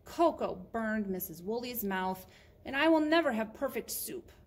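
A woman voicing a small, anxious, hurried mouse who pleads earnestly and in singsong in a children's picture book. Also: fairly high pitch, sing-song, pitched above the performer's own voice. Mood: sad, sobbing.

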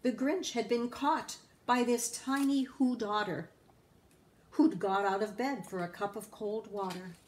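Older lady in her 60s doing storytime, slow expressive reading, big pauses, recorded at home. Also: medium pitch. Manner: deliberate, with emphatic pauses. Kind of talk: expressive read-aloud of a children's storybook. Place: living room at home.

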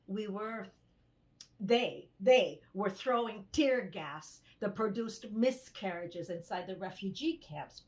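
An older woman playing an earnest survivor and human rights activist in a dramatized testimony, speaking expressively in halting phrases. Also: mid-range voice. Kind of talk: dramatized first-person testimony monologue. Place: indoors in a home room, on a video call.

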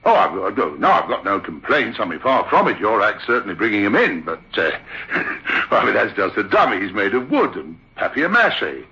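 An affable, sceptical theatre manager spinning a tale in an old radio drama. His lowish voice is bright and swoops expressively, with hesitant conversational asides, on a dull vintage recording. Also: very animated, fairly quick, full character voice. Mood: placating. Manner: chatty, hesitant, incredulous. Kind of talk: old-time radio drama, conversational first-person storytelling.

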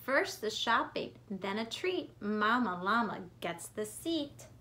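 A woman reads a children's picture book aloud in an expressive, rhythmic, deliberate way for young listeners. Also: on the high side. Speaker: preschool teacher reading aloud. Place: bedroom at home.

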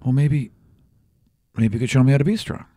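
A man plays an anxious young bird-man in a tabletop fantasy game. He rambles and stammers shyly, with an eager streak. His voice is pitched up and loud, recorded dry and close. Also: lively intonation, fairly quick, no echo, lightly acted.

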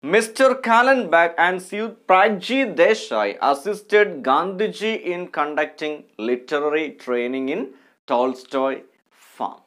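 Male teacher, Indian accent, slightly high-pitched, very slow pace, careful articulation, instructive lesson delivery. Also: fairly bright tone. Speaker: English teacher. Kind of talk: online lesson with text read aloud.

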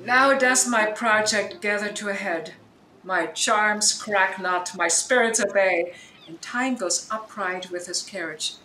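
A woman plays a commanding sorceress in a Shakespearean audio drama. Her bright, forceful voice moves slowly and grandly, in a roomy echo with faint noise. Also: medium pitch, faint music behind. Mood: resolute, reflective. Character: commanding, vengeful yet merciful female sorceress. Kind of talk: Shakespearean radio play acting.

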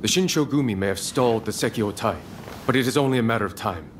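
A man's voice: medium pitch, quick pace, natural voice. Man doing serious samurai boss from a game, formal, commanding, noisy ambience behind. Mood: grave, urgent. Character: grave, earnest samurai commander. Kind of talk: video game voice acting.

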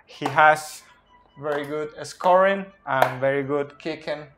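A man's voice: on the high side, slow pace, music in the background. A man in his thirties whose first language is Spanish, explaining football tactics off the cuff at a whiteboard, recorded on a phone in a small indoor room. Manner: earnest, pointing at a tactics whiteboard while talking. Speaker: football analyst. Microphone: phone camera up close.

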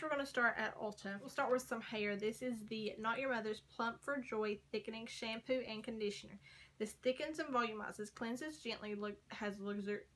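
Young woman, casual haul vlogger, chatty, hoarse voice, filmed in a bedroom corner. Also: mid-range voice, sharp tone. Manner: chatty, rambling, frequent likes and ums. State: losing her voice. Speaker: beauty vlogger. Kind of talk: casual makeup haul chat. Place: small bedroom corner by a door.

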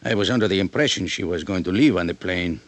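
Man playing a deep-voiced proud Spanish-accented gentleman. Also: fast, no echo, close to an everyday voice. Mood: formal, guarded. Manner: formal, stiff, courteous. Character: proud, vengeful Cuban gentleman, formal and guarded. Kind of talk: 1950s radio drama acting.

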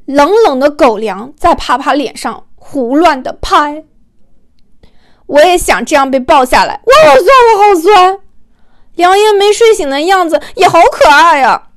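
An excitable young fan, acted by a woman narrator, gushing over a livestream. The voice is high, teasing and full of exclamation, in audiobook style. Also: measured pace. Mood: excited gushing.

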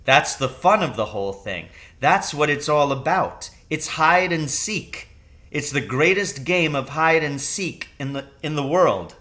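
Man playing a hearty, excitable storybook father. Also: fairly high pitch, measured pace, pitched above the performer's own voice. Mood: reassuring. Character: warm, excitable father, adult man, sharing adventure. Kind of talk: storybook read-aloud.

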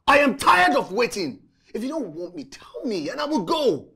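A young man with a husky voice, acting an earnest, frustrated lover in a film drama. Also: mid-range voice, fairly quick, crowd noise, lightly acted. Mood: frustrated, hurt.

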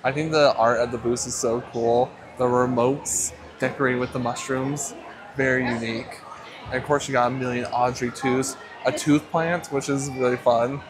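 Upbeat man vlogging at a crowded expo. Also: mid-range voice. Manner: upbeat, easily delighted, joking asides. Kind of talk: casual walkthrough vlog. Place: busy indoor expo hall. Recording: crowd chatter behind.

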